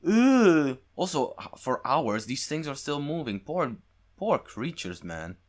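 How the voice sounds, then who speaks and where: A man's voice: on the high side, big pitch swings, slow pace. A man hosting a gaming video, giving casual, slangy reaction commentary with muttered asides.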